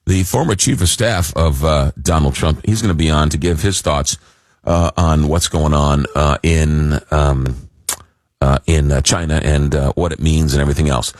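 Man, deep voice, slightly hoarse from a cold, casual talk radio host, halting droll delivery, close dry mic. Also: no room sound. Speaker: radio call-in show host. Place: radio studio. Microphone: close broadcast mic.

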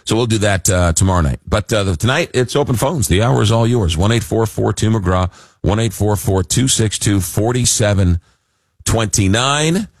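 A man hosting a radio call-in show, talking casually and haltingly in a droll way, slightly hoarse from a cold. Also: fairly low pitch, dry recording. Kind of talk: casual live talk radio monologue. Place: radio studio. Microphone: close broadcast mic.

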